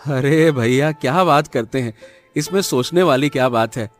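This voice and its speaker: An actor playing a trusting, stubborn poor farmer, remonstrating colloquially in a cartoon story. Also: male voice, mid-range voice, moderate pace, faint music behind, natural voice. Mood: reassuring.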